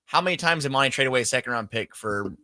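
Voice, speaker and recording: Man, radio co-host, fast speech, bright tone, questioning delivery. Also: mid-range voice, animated, dry recording, studio quality. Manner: quick, questioning, hesitant restarts. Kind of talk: sports talk radio discussion.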